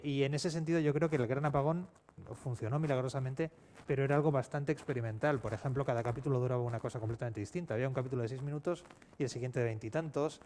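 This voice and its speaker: A man with an accent from Spain speaking unscripted on a panel in a halting, self-correcting way, as an audio fiction writer. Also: medium pitch, a little mellow. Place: public round-table event. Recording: clear background noise, live event ambience.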